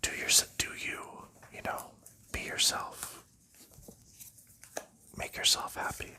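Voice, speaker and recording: Guy doing asmr whisper chat, super slow and unhurried, bright airy whisper, little chuckles. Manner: soft rambling whisper with light chuckles. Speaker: ASMR creator.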